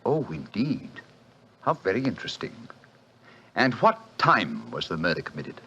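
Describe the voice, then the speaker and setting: An actor playing a shrewd, assured detective, crisp and lightly ironic, in a 1940s radio drama. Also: male voice, low voice, wildly swooping pitch, moderate pace, bright, forceful tone, full character voice. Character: brisk, shrewd British detective, sharp and assured. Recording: dull old radio broadcast.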